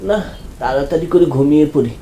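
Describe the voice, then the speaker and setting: A man's voice: on the high side, fast, mellow, slight background noise, lightly acted. A man voicing a tired, kindly village doctor in a cartoon ghost story, full of questions and exclamations. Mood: weary, grumbling. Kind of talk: cartoon horror story acting.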